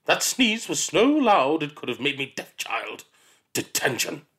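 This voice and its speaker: A man voicing a cruel, furious elderly headmistress in an audiobook, with a shrill, bellowing delivery. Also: huge pitch swings, a little slow, fairly bright tone, husky, exaggerated voice. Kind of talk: audiobook character voice.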